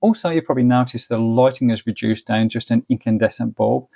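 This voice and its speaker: A man's voice: medium pitch, fairly quick, vocal fry, warm tone, no room sound, clean studio sound. An English man giving a casual, easygoing hands-on product review in colloquial step-by-step commentary. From United Kingdom. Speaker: gadget reviewer.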